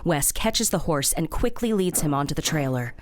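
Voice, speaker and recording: A woman reading scripted audio drama narration in a measured, matter-of-fact way. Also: low-pitched, expressive, heavy background noise.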